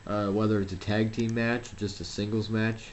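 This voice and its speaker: Young man, low-pitched, rambling game commentary, faint hiss behind. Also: little pitch movement, normal speed. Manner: rambling, self-deprecating, frequent fillers and restarts. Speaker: gameplay commentator. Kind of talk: casual solo game commentary.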